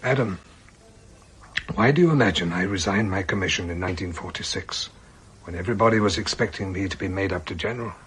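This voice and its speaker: An actor in a radio drama playing an ailing, remorseful old British army colonel, speaking formally and haltingly in a husky, breathy voice. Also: male voice, low voice, fairly bright tone, a little hiss or hum. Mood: regretful. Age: older.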